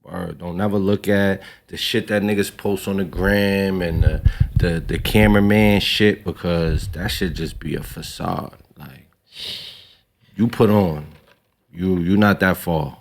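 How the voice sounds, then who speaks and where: A man's voice: deep voice, fairly even intonation, measured pace, creaky. A young man from Long Island, a rapper guest, talking casually in slang during an interview. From Long Island, New York. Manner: laid-back, slangy, repeats himself.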